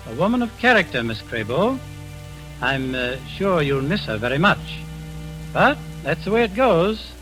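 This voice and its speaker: A man's voice: on the high side, big pitch swings, normal speed, music in the background, rough recording. A wry intelligence man. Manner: dryly amused, genial and assured. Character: calm, wry British intelligence man, genial. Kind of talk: 1940s radio acting. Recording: old radio broadcast with hiss.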